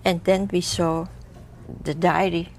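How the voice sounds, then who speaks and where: An older woman recounting a memory haltingly in English, with foreign word order, in an interview. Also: fairly low pitch, flat delivery, darkish tone. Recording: archival TV interview, faint noise.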